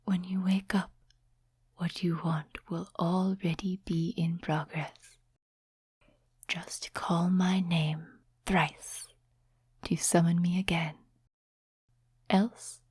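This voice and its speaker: A woman performs a seductive female demon in an ASMR roleplay, whispering very slowly with heavy breath in the voice. She is sly and condescending, coaxing a mortal with deliberate, teasing words. The voice sits a little low and sounds close and dry. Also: little pitch movement, a little mellow, husky, dry recording, exaggerated voice. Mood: gloating, soothing.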